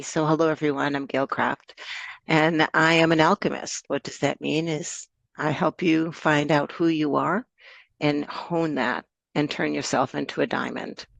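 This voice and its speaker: Gravelly-voiced grateful American woman. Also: sits low, dry recording. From United States. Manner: earnest, grateful, slightly meandering. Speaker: summit guest speaker. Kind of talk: self-introduction on a video call.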